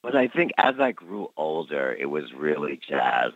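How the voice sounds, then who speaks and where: A man's voice: rumbling low, very animated, normal speed, a bit bright, dry recording. A man in his forties who grew up in Canada, reflecting easily on his dance career as an interview guest. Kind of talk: casual interview talk. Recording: dry, dull lo-fi recording.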